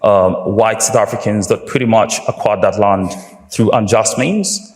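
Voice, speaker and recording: A man questions a debater in a measured, somewhat hesitant way. His voice sits low for a man. It comes through a roomy space with a long, echoing hall tail. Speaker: audience questioner. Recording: echoey hall reverb.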